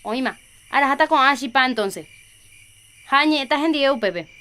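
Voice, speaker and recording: A woman's voice: high voice, expressive, normal speed, sharp tone. A woman playing a caring, busy catechist in a Paraguayan radio soap opera, with a Paraguayan accent. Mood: busy, organizing. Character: Juliana, caring, busy catechist woman organizing a village festival.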